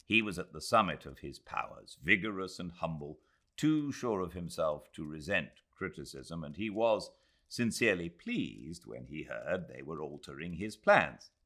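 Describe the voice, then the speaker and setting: A British man reading literary narration as an audiobook narrator, measured and expressive. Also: deep voice. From Britain.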